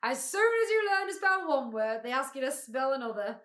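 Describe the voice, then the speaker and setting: Woman putting on a booming, pompous humbug beetle. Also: very animated, brisk, fairly bright tone. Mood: boastful. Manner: pompous, grandiloquent. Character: blustering, pompous male humbug, a big beetle-like con man.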